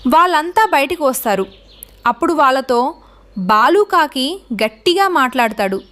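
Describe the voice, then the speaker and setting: A woman's voice: high-pitched, lively intonation, normal speed, fairly bright tone. A woman reading scripted narration for a children's moral story as the storyteller.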